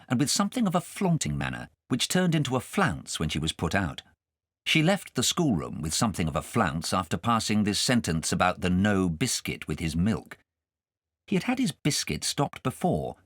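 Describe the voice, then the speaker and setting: A man's voice: lowish voice, no room sound, studio quality. A man reading a children's story as scripted audiobook narration.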